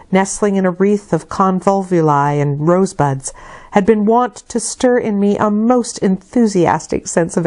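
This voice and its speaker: A woman narrating literary fiction as an audiobook, with measured, expressive delivery and deliberate phrasing. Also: medium pitch. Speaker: audiobook reader.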